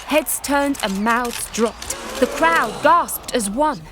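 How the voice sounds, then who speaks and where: Woman playing a wry storyteller, fairly high voice. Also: expressive, takes it slowly, noisy background. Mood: storytelling. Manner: wry, informal. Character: cheeky, reluctant female storyteller of an unfairytale. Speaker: narrator. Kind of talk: satirical storytelling, conversational asides.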